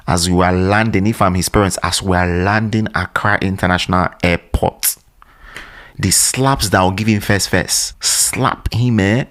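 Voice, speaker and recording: A man with a low voice. He gives opinionated commentary with animated energy. He fires off scolding rhetorical questions.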